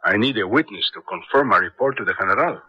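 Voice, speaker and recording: An actor playing a scheming, cold-blooded colonel, raspy and commanding, in a 1970s radio drama. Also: male voice, fairly low pitch, animated, fast, no echo. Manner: calculating, commanding. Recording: dull vintage radio recording.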